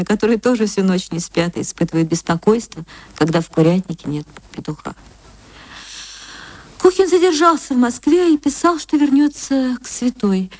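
A woman reading a short story for radio as an actress, in expressive literary narration. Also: fairly high pitch, no room sound. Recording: music under the voice.

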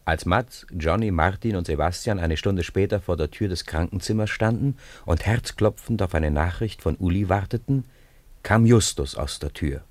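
A man's voice: low voice, moderate pace, darkish tone, dry recording. A man narrating a scripted story for a radio play.

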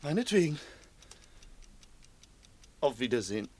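An actor playing a nervous armed crook in a 1960s radio play, threatening yet frightened. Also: male voice, medium pitch, very animated, a little slow, a little mellow. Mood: bitter, wounded. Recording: 1960s radio drama recording.

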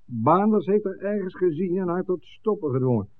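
A man's voice: high-pitched, animated, moderate pace. Tinny-sounding, level-headed practical man. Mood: reasoning. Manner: matter-of-fact, reasoning. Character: level-headed, practical ally planning a rescue. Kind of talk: 1960s radio drama acting. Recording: tinny, band-limited old radio recording.

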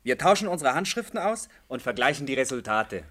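A man's voice: mid-range voice, big pitch swings, a little fast, a bit bright. An actor playing a restless, irritable young male student in a German radio play, brusque and moody. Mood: bored, dismissive.

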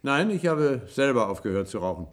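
Animated, indignant old professor acted by a man. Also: mid-range voice, brisk, close to an everyday voice. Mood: casually probing. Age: older. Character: old captive professor, bitter, indignant, slyly probing. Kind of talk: German science-fiction radio drama acting.

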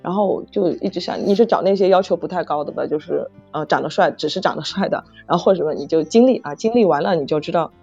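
Halting low-voiced woman, dull lo-fi recording. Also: mellow, breathy edge. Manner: halting, repeats phrases as searching for words. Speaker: novel author. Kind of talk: casual chat about writing. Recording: dull lo-fi audio, music underneath. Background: background music.

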